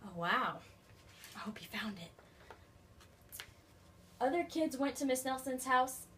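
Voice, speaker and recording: High-voiced woman reading to children. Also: takes it slowly. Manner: expressive, addressing young children. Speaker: teacher reading aloud to children. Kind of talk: storybook read-aloud with questions. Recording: faint background noise.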